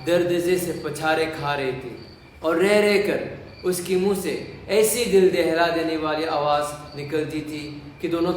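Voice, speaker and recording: A man narrating a scripted story in a dramatic audio-play style. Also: a little high. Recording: very echoey room, faint background noise.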